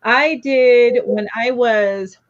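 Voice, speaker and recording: A fairly high-voiced woman chats casually on a live video call, laughing easily and greeting viewers by name. The audio sounds dull and lo-fi, like a compressed stream. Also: extremely slow. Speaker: co-host of a live crochet show. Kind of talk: casual chat on a livestream.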